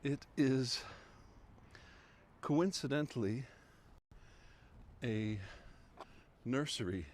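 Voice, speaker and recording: A man co-hosting a live stream, chatting in a relaxed, amused way with plenty of asides. His voice is lowish and his pace unhurried, with faint noise underneath. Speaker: co-host of a local history stream. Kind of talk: casual chat.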